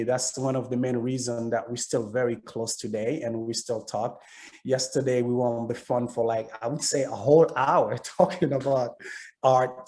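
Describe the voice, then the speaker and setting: A man's voice: mid-range voice, mellow. A middle-aged man with a Haitian accent, telling a personal story casually as a panelist.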